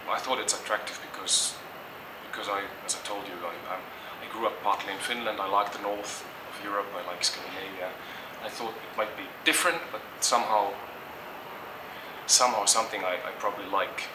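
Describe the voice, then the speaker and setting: A man's voice: on the low side, steady tone, a little fast. A middle-aged man from Germany answers interview questions thoughtfully, hesitating and restarting often. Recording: echoey, distant mic, noisy background.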